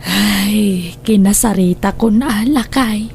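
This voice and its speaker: A woman playing a concerned middle-aged mother, measured and sorrowful as she explains, in radio drama style. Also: medium pitch, creaky, a little mellow, slight background noise. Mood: worried, sorrowful. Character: middle-aged mother, concerned wife relaying family troubles.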